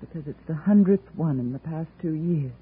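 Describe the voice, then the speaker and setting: A woman's voice: sits low, fairly quick, husky. An actress playing an earnest, proud young woman, the niece of an old collector, in a 1950s radio drama. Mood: pleading. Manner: earnest, flaring into indignation. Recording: tinny old radio broadcast, faint hiss.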